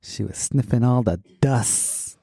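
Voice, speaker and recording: A middle-aged man co-hosts an informal podcast with deadpan, teasing remarks. His voice is mellow and relaxed, slow-paced, recorded close and dry. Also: mid-range voice, gravelly, husky, no room sound. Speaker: podcast co-host. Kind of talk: casual chat.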